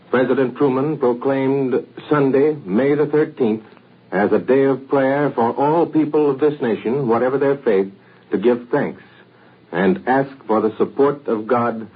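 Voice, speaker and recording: An American man anchoring a 1940s radio news broadcast in a measured, formal way, on an old radio recording. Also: mid-range voice, husky, narrow, tinny audio.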